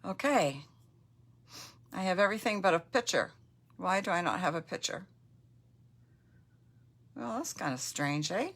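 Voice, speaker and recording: A Canadian woman getting over a cold, with a slight cough, chatting casually and warmly with viewers as she hosts a papercraft live stream. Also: on the low side, very animated, a little slow, pauses often. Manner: chatty and friendly, with fillers and asides. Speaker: papercraft demonstrator.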